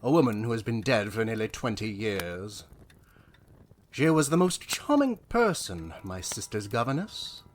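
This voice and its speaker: Actor as grave, theatrical gentleman storyteller, swooping intonation. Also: on the low side, very animated, measured pace, slight background noise. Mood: grave, haunted. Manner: formal, theatrical, dramatic delivery. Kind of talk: audio drama acting.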